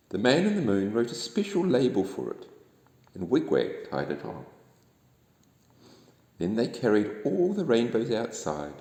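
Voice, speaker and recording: A man reads a children's bedtime story in a gentle, expressive way. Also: mid-range voice, vocal fry. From New Zealand. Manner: gentle, expressive, addressing young listeners. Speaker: storybook reader. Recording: echoey room with long reverb.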